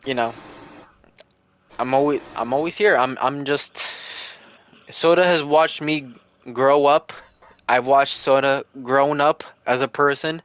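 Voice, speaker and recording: A man's voice: mid-range voice, a little slow, fairly bright tone, clean tone, no echo. A man in his early twenties answering questions on a livestream, earnest and rambling, repeating himself as he thinks aloud. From United States. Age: 20s. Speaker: streamer. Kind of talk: casual livestream Q&A.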